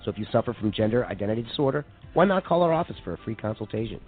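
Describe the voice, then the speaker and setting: Casting: man as salesy, earnest commercial announcer, lowered voice, parody radio spot, phone-like sound. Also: expressive, racing, natural voice. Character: earnest male announcer for a clinic advertisement. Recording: tinny narrowband audio with music.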